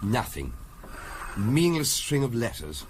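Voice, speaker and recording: A grumbling English husband who scoffs at everything. His mid-pitched voice rises and drops sharply, curt and weary. The seventies radio drama recording is clearly noisy. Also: sing-song, measured pace. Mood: impatient. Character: grumbling sceptical middle-class husband, impatient bridge player. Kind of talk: 1970s radio drama acting.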